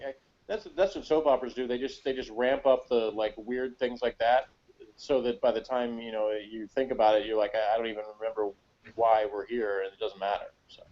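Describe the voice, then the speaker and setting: Male review co-host, loose and rambling conversational delivery, mid-pitched, dull recording. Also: a little mellow. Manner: rambling, hesitant, informal phrasing with frequent "like" and "you know". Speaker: podcast co-host reviewing a TV episode. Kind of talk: casual review chat.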